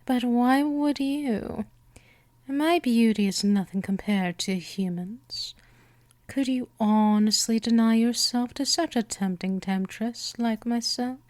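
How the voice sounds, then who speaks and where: A woman voicing a gentle but dominant, seductive vampire in a scripted hypnosis roleplay, teasing and coaxing, often slipping toward a whisper. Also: fairly high pitch, slow, dry recording. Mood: teasing, amused.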